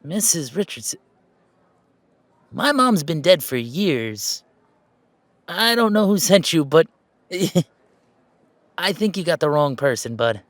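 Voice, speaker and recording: A man's voice: on the high side, big pitch swings, slow pace, long pauses, no echo. Man acting a chatty, anxious young guy, dry close sound. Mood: indignant, bitter. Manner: chatty, hesitant, rambling. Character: affectionate, anxious, self-deprecating young gamer, wary of family. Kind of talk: conversational audio roleplay acting.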